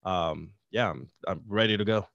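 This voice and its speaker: A man's voice: deep voice, normal speed, vocal fry, a bit bright, no echo. Easygoing man on dull, dry call audio. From Puerto Rico. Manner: easygoing, rambling storyteller. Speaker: podcast guest. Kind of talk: casual interview chat. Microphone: video call.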